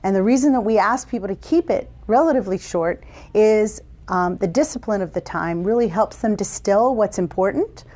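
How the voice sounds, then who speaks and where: A woman facilitator speaks to camera from an office, guiding viewers through a group exercise. She talks in a warm, encouraging and deliberate way, at a mid pitch. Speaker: workshop facilitator. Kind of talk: instructional talk to camera.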